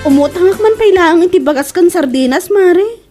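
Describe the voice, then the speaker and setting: A woman's voice: extremely high pitch, lively intonation, brisk, music in the background. A woman playing an exasperated, sarcastic daughter-in-law in a comedy audio drama, exclaiming and complaining. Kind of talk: comedy radio drama acting.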